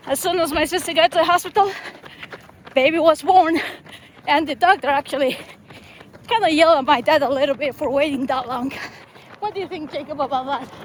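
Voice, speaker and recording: High-voiced woman jogging by traffic. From Chile. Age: 50s. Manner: talks in loose, chatty bursts while jogging. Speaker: vlogger host. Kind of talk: casual chat while running. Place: outdoors beside a highway. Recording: outdoor traffic noise.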